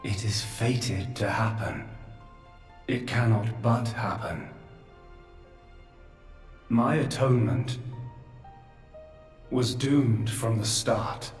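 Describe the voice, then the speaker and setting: An actor playing an ancient, remorseful creator figure who speaks slowly and gravely. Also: male voice, lowish voice. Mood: resigned. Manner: slow, grave, measured. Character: ancient godlike male creator, solemn and remorseful. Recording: echoey far-off voice with hall reverb and music.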